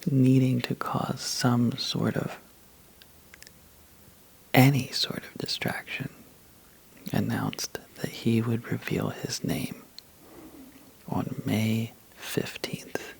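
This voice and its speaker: A man telling a sleepy ASMR bedtime story in a soft, breathy half-whisper, halting and drowsy, with long pauses. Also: mid-range voice, fairly even intonation, low-quality recording.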